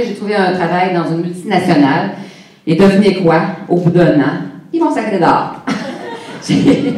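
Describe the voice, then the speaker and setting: A woman's voice: mid-range voice, a little mellow. Québécois woman, 50s, conference speaker; candid, funny, heard from a distance in a reverberant hall. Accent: Québécois French. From Quebec. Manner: candid, humorous, addresses the audience directly. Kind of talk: motivational personal story talk. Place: large hall with an audience.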